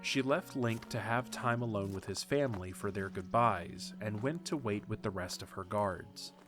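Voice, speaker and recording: A man narrating a fan audio drama with steady, measured reading. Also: lowish voice, music in the background. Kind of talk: scripted story narration.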